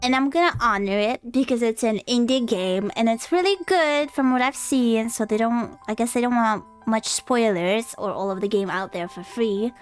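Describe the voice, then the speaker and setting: A woman's voice: a little high, normal speed, hardly any pauses, faint music behind. A young woman live streaming a game, chatting casually and hesitantly, rambling as if talking to herself. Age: young adult.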